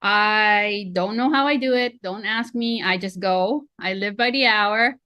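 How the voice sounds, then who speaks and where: A woman's voice: mid-range voice, measured pace, bright, forceful tone, dry recording. A woman in her forties with a Filipino accent, chatty and self-deprecating, coaching a webinar over a video call. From Philippines. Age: 40s. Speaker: real estate coach. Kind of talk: online training webinar.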